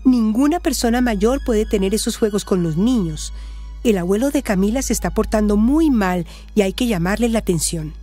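A woman's voice: medium pitch, animated, moderate pace, mellow, faint music behind, lightly acted. A woman playing a caring, level-headed Colombian friend and adviser in a radio soap opera. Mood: firm, earnest.

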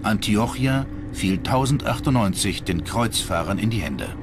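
A man's voice: on the low side, expressive, normal speed, background noise. A man narrating a scripted historical documentary. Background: music.

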